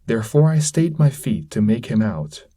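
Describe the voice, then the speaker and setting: A man giving a solemn, measured, dramatic reading of epic poetry. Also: mid-range voice, very animated, mellow, husky. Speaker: audiobook-style reader.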